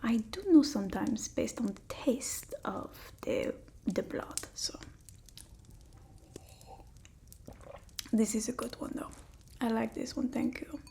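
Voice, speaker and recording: A woman voicing a haughty centuries-old female vampire, breathy and often whispering, in an ASMR roleplay. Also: fairly high pitch, normal speed, dark tone. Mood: musing, sated. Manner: broken old-world English, dramatic and haughty. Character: theatrical female vampire, centuries old, bored, demanding, scornful of humans.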